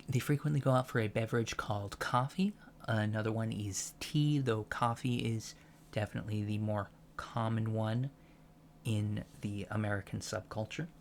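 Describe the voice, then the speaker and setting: A soft-spoken man in an ASMR roleplay as a professor, explaining things haltingly with pedantic asides. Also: fairly low pitch, fairly even intonation, slight background noise. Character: patient alien professor lecturing on humans, fascinated by them. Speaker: ASMR roleplay performer playing an alien college lecturer.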